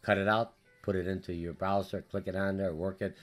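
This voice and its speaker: A man with a Polish accent, a college business instructor giving a hurried, energetic recorded class update full of fillers and restarts. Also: deep voice, soft background music. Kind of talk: recorded course update lecture.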